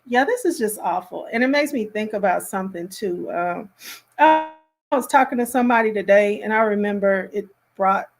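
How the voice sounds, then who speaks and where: A woman hosting a casual reaction commentary, speaking in an appalled, emphatic and halting way. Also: medium pitch, expressive.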